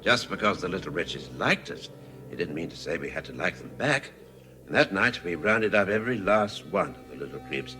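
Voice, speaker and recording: Lofty, theatrical old-world bird creature. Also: male voice, low voice, very animated, over loud music. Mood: grave, grandiose. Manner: theatrical, sighing, drawn-out delivery. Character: lofty aggrieved male bird elder, an alien creature. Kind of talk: radio comedy drama acting. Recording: noisy archive radio drama recording.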